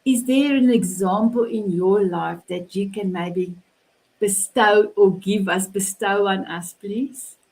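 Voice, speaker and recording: A woman's voice: mid-range voice, slow pace, a little mellow, poor audio. A woman with a South African accent and Afrikaans as her first language, hosting an online panel conversation in an effusive, complimentary way. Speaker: host of an online talk show.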